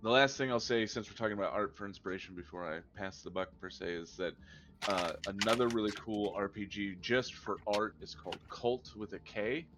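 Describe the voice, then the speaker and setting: A man's voice: fairly low pitch, fairly quick, over music. A man playing in a tabletop game, chatting with enthusiasm in long runs of talk. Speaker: player. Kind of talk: casual chat.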